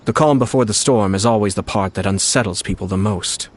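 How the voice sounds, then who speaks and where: An actor playing a composed, scheming young nobleman in a video game, measured and quietly confident. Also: lowish voice, natural voice. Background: game music.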